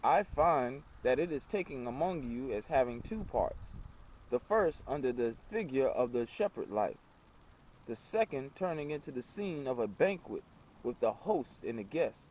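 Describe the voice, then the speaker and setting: A man reading the part of a kindly, earnest Syrian guest in a storybook, explaining things gently. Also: medium pitch, expressive, moderate pace, slight background noise, lightly acted, poor audio. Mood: assured.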